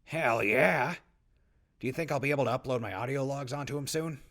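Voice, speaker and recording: Gravelly, casual male wasteland survivor played by an actor. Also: mid-range voice, a little fast, fairly bright tone, close to an everyday voice. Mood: upbeat. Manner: casual, upbeat, slangy. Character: friendly, joking wasteland survivor and supply scavenger. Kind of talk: post-apocalyptic audio drama acting.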